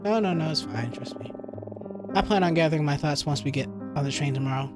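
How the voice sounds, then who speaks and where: Reluctant student sleuth read by a game player, casual and sheepish, music clearly behind. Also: medium pitch, quick pace, close to an everyday voice. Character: weary, reluctant student amateur detective. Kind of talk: reading visual novel dialogue aloud.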